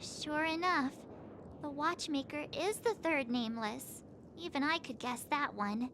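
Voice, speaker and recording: A woman's voice: sits high, measured pace. A woman voicing a small, inquisitive female companion character in a video game. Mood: knowing. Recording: clear background noise.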